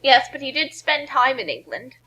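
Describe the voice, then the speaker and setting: A woman with a high-pitched, bright voice. She leads a casual, riffing commentary with her friends on an online call, talking informally. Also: big pitch swings, moderate pace, husky, low-quality recording. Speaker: commentary host.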